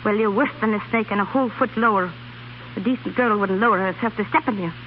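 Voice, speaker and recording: A woman's voice: mid-range voice, quick pace, lightly acted. An actress playing a feisty, blunt young Irish woman in a 1930s radio drama. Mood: indignant, scolding. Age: young adult. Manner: blunt, spirited, scolding. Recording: muffled, noisy 1930s radio recording.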